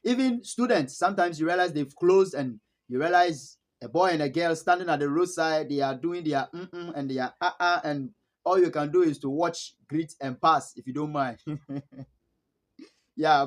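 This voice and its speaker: High-voiced Ghanaian man vlogging. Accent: Ghanaian English. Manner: chuckles often, repeats words for emphasis. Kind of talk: casual storytelling to camera.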